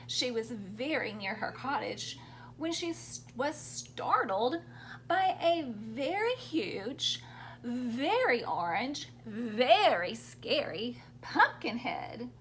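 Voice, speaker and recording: A woman storyteller reads to young children with a very animated, fairly high voice. She takes it slowly and invites listeners to join in, with faint noise in the recording. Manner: interactive, prompting children with questions and sound words. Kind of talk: expressive read-aloud storytelling for young children.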